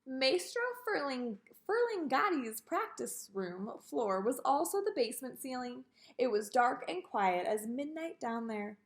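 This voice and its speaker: A woman reading a children's picture book aloud in an expressive way, with sing-song delivery on repeated lists. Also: high voice, a little slow. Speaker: storybook reader.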